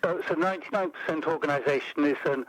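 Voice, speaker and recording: A man as an interview guest, explaining policy in a measured way and building points into lists. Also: on the high side, a bit bright, no echo, poor audio. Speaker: interview guest, report author. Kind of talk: radio interview.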